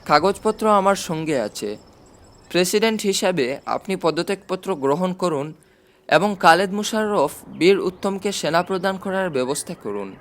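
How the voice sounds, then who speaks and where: A man's voice: sits high, expressive, moderate pace. A man voicing a menacing, commanding army colonel, formal and demanding, in an audiobook. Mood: sternly demanding. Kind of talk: audiobook character dialogue.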